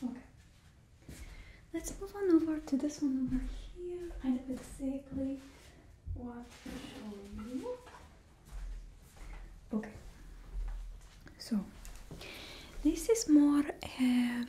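A woman's voice: sits high, takes it slowly, long pauses, dark tone, a little hiss or hum. A woman in her twenties whispering closely in a put-on Russian accent, as the host of an ASMR roleplay. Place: indoors in front of a studio backdrop. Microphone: close microphone.

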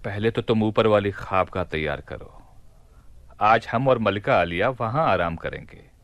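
A mischievous ruler of a palace for a radio play. He speaks slowly and deliberately in a fairly low, dry-recorded voice, giving orders with imperious theatrical flair. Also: expressive, fairly bright tone, no echo. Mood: commanding. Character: commanding, mischievous caliph planning a prank in his palace. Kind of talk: Hindi radio play acting.

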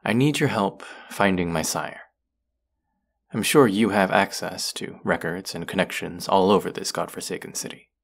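Give the low, gravelly voice of a young male vampire, acted by a man in an intimate audio drama. He speaks earnestly, with a wry and protective streak. His deep register crackles with vocal fry. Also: normal speed. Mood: earnest pleading.

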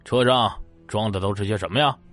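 An actor playing a watchful, officious city-gate commander with a formal, authoritative manner in an audiobook drama. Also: male voice, fairly low pitch, brisk, fairly bright tone, lightly acted. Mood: suspicious. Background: music.

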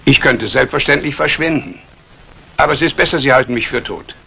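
A gravelly, sharp-edged male schemer from a 1950s radio thriller. He is a cold, calculating banker who stays menacingly polite while plotting. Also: medium pitch, fairly quick, heavily acted. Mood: coolly scheming. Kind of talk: 1950s German radio crime drama acting.

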